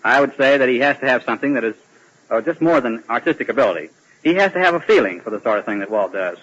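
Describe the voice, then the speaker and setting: A man's voice: mid-range voice, animated, fast, a bit bright. A man giving formal, earnest explanations as a guest in a prepared 1940s radio interview. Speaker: animation director guest. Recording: dull vintage 1940s radio broadcast.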